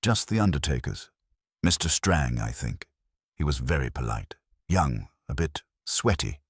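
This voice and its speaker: A man, very deep-voiced, reads a prim and brittle bereaved woman in an audio mystery. The answers are clipped and guarded, with a slightly husky tone. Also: big pitch swings, measured pace, no room sound, studio quality, exaggerated voice.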